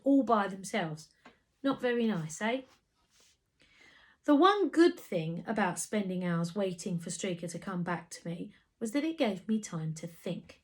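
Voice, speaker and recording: A woman reading a children's story aloud in an animated way, with dramatic emphasis. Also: mid-range voice. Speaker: storybook reader. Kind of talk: expressive scripted children's story narration.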